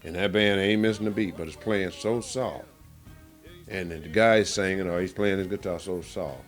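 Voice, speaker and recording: Man, very deep voice, blues singer and guitarist, emphatic, colloquial, casual interview. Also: moderate pace, soft background music.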